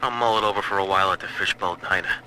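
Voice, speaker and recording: A man's voice: deep voice, fairly even intonation, very fast, natural voice. A man playing an exasperated, put-upon working man grumbling in a period game audio log. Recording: faintly noisy, radio-like audio log.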